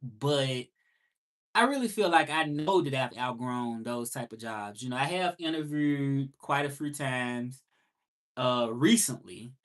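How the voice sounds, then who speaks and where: A man's voice: mid-range voice, measured pace, fairly bright tone. Southern man, 30s, reflective livestream host, repeats key points. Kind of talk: casual live monologue.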